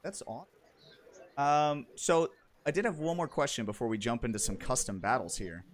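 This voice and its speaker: A man's voice: medium pitch, fairly quick, a little hiss or hum. Upbeat man hosting a game stream. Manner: upbeat, directive, announcer-like. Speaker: stream host. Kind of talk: livestream hosting and interviewing.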